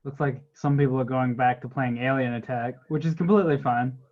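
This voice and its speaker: A man's voice: medium pitch, fairly even intonation, a little fast. A man moderating an online meetup in casual chat, speaking over a call. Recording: dull lo-fi online call audio.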